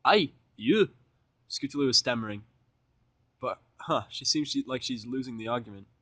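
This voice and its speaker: A man's voice: mid-range voice, fairly quick, sharp tone, no echo. A man narrating fan fiction in the first person, with irreverent, sweary casual asides.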